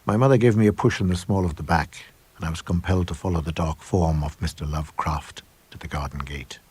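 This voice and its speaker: A middle-aged man narrating a supernatural tale as a scripted dramatic reading, measured and suspenseful. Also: rumbling low, darkish tone, breathy edge. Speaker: audiobook narrator.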